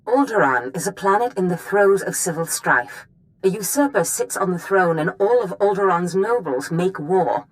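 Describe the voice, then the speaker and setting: A woman playing a scheming Sith mistress in a video game, speaking with silky, measured formality. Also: lowish voice, close to an everyday voice, rough recording. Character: scheming Sith lord mistress, gracious and coaxing. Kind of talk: scripted video game dialogue.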